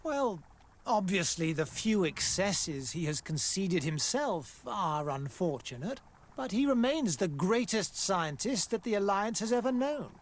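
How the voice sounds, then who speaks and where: A man's voice: high-pitched, sing-song, measured pace. An actor playing a formal, pompous male scientist who runs a colonial laboratory in a fantasy game. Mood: defensive. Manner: formal, pompous, loftily dismissive. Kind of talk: scripted fantasy game acting.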